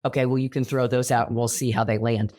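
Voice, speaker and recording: A man's voice: medium pitch, little pitch movement, quick pace, dark tone. Rambling man on a church podcast. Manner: rambling, many fillers and restarts. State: recovering from illness. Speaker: theater director and podcast co-host. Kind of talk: casual podcast chat.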